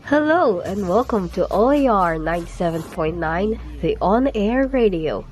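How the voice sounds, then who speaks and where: A woman's voice: mid-range voice, lively intonation, moderate pace, background noise. A young woman hosting a scripted radio show as a DJ, chirpy and enthusiastic. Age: young adult. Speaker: radio DJ host.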